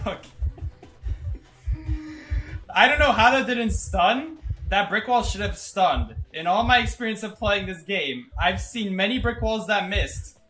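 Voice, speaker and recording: Young man, game streamer, higher voice, excitable commentary, quick laughs. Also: faint music behind, poor audio. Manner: excitable, laughs often, swings from loud disbelief to low mutters. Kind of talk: live gameplay commentary.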